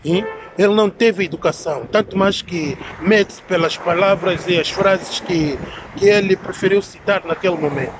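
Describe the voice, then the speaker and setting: High-voiced Angolan man vlogging outdoors. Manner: emphatic, repetitive, halting to search for words. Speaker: social media commentator. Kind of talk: opinionated vlog commentary to camera. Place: outdoors in an alley beside brick buildings. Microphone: phone at arm's length. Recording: clear outdoor street and traffic noise.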